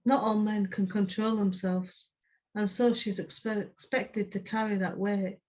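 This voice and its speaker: A woman's voice: medium pitch, level tone, a little slow, darkish tone, poor audio. A woman, speaking plainly as a podcast co-host who chats and reads her poetry. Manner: brief, plain-spoken. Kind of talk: casual podcast chat and poetry reading.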